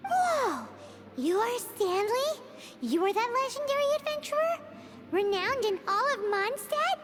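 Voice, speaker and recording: A woman voicing a tiny, excitable female fairy companion in a video game, with a squeaky, blunt and impatient manner. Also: a little slow, full character voice. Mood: excited. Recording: game audio with loud music.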